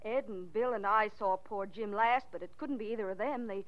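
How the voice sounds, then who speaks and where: An actress playing a defensive, cagey widow in a 1940s radio drama, with a pointed, dramatic delivery. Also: female voice, mid-range voice, brisk, natural voice. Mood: upset, defensive. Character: defensive, cagey, bitter widow of a murdered man. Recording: dull, lo-fi 1940s radio recording.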